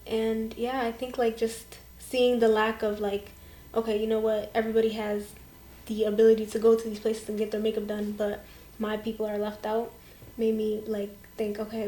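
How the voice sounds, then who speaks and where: A woman's voice: fairly high pitch, completely flat delivery, moderate pace, mellow, slight background noise. A young woman from Portland, Maine, a makeup artist talking casually as an interview guest, with many fillers. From Portland, Maine, USA. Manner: chatty, many fillers, quotes others.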